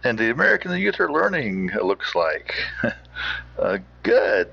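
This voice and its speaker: A man hosting with informal, rambling commentary in a folksy, halting manner. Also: medium pitch, very animated, creaky, background noise. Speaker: podcast host. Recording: dull, muffled recording.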